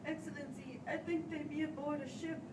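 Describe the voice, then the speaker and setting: A woman's voice: high-pitched, fairly even intonation, brisk, natural voice. A woman acting an anxious, distraught Puritan minister in an amateur play, pleading and deferential with a stammer. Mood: nervous, hesitant. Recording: echoey room, far mic, hissy noise.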